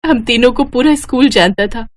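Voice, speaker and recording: A woman's voice: a little high, normal speed, pitched above the performer's own voice, lightly acted. A woman voicing an affectionate, eager teenage schoolgirl in a moral story, with an emotional, pleading manner. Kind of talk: animated moral story character acting.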